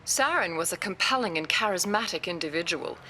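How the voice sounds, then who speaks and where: Bright, formal alien councilor. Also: female voice, on the low side, expressive, slight background noise. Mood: diplomatic. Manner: formal, measured diplomacy. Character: composed, diplomatic female alien councilor. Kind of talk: video game dialogue acting.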